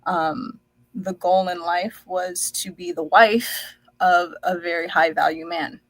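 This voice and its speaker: A woman speaks slowly and thoughtfully as she tells her own story, pausing often with ums. Her first language was Dutch. Her voice sounds dry and close, with little room sound. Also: fairly low pitch, fairly even intonation, fairly bright tone, dry recording, low-quality recording. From United States. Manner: slow, reflective, many fillers. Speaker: guest. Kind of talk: telling her life story.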